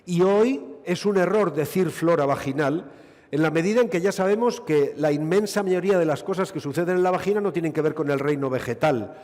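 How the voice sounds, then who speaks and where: A man's voice: a little high, fairly quick, a little mellow. A middle-aged man the Basque Country, a gynecologist giving a witty, rhetorical conference lecture. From Basque Country, Spain. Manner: expansive, witty and rhetorical, with dry asides. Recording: lecture hall reverb.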